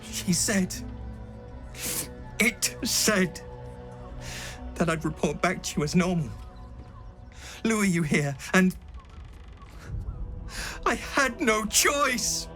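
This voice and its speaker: A man's voice: high voice, lively intonation, extremely slow, pauses often, sharp tone, soft background music, exaggerated voice. An actor playing an earnest, nervous young male recruit in a video game, hesitant and deferential. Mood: shaken, guilty. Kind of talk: dramatic video game acting.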